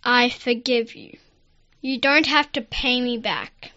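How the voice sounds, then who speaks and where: Woman acting a slow, high, piercing storybook king. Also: bright, forceful tone, muffled recording. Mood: forgiving. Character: stern king passing judgment on servant. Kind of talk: children's Bible story acting.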